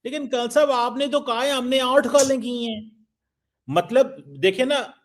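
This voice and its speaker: This is a man hosting a political talk show, his voice sitting high for a man. He asks pointed, sarcastic questions and keeps prodding his guest. He talks like a regular host who is used to pushing for answers. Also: a little slow. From Pakistan.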